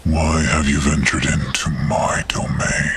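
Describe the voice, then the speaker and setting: A man's voice: rumbling low, level tone, gravelly, a bit bright, slight background noise, exaggerated voice. A man voicing a huge, stern, suspicious spirit wolf in a fantasy audio drama, speaking formally and menacingly. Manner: slow, menacing, formal questioning. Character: giant stern suspicious male spectral wolf guardian.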